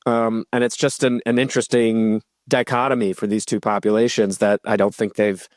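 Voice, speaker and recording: A man's voice: on the low side, flat delivery, moderate pace, no echo, clean studio sound. A man hosting a podcast, talking in a reflective way and thinking aloud with fillers. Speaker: podcast host. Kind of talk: conversational interview.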